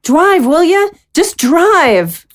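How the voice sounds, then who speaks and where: Bossy, slow-drawling wife, very lively pitch. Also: female voice, no room sound, heavily acted. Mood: insistent. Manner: demanding, emphatic, drawn-out delivery. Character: bossy, impulsive, childish wife in her thirties. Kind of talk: audio drama acting.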